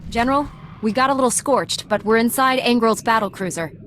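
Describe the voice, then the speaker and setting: An actress playing a determined, heroic Jedi Knight in a video game, with a firm delivery. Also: female voice, fairly high pitch, a little fast, fairly bright tone, slight background noise, close to an everyday voice. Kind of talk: scripted video game acting.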